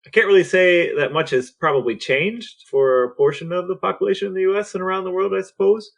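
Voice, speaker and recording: A man's voice: high voice, quick pace, creaky. American man chatting as podcast co-host. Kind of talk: casual discussion.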